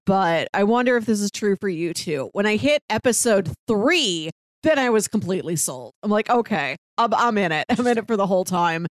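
Woman, podcast co-host, medium pitch, casual discussion, dry recording. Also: lively intonation, brisk, no room sound.

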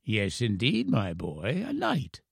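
A man voicing a frail, eloquent English professor in his eighties, formal, halting and playfully teasing, in a storybook reading. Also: lowish voice, huge pitch swings, gravelly, husky, dry recording, studio quality, exaggerated voice. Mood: playfully secretive. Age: 80s. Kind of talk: storybook character voice.

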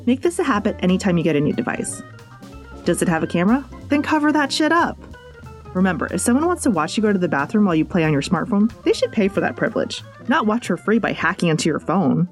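A woman's voice: medium pitch, sing-song, fast. A woman reading scripted podcast tips in a wry, jokey manner. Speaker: podcast host. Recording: faint music bed behind.

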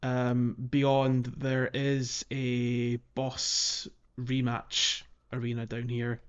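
A man's voice: mid-range voice, completely flat delivery, drawn-out words, clean tone, highs cut off. A man co-hosting a casual video game podcast, talking in an informal, somewhat hesitant way. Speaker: podcast host.

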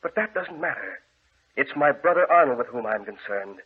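An actor playing a grave, controlling gentleman in a 1940s radio horror drama, speaking with formal, solemn command and a husky voice. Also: mid-range voice, animated, moderate pace. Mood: solemn, sombre. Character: grave, controlling gentleman guarding his deranged brother. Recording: tinny, band-limited old radio recording.

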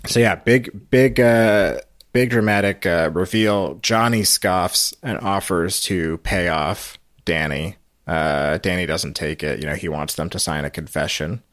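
A man giving a casual, halting, meandering storytelling recap on a podcast. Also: sits low, a bit bright, no room sound, clean studio sound. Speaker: podcast guest recapping a radio show.

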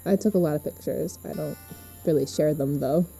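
A very mellow, husky teenage girl. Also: sits low, moderate pace, soft background music, full character voice. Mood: reflective, reserved. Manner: gentle, casual, reassuring. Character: easygoing, reassuring teenage girl, new in town. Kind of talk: fiction podcast acting.

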